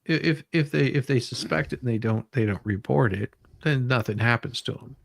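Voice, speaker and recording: A man's voice: medium pitch, brisk, gravelly, no room sound. A man hosting a casual livestream with dramatic reading, joking around and repeating his phrases playfully. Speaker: livestream host reading a deposition.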